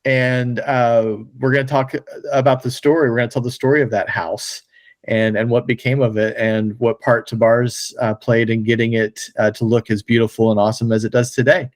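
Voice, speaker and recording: A man's voice: medium pitch, level tone, normal speed, no echo. An American man hosting a podcast in a casual, conversational style. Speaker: podcast host.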